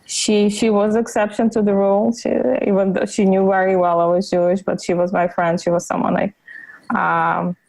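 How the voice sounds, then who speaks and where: Russian-accented woman, gravelly voice. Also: medium pitch, level tone, normal speed, a little mellow, poor audio. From Ukraine. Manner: hesitant, many fillers and restarts. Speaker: podcast guest. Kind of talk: interview over video call. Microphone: video call.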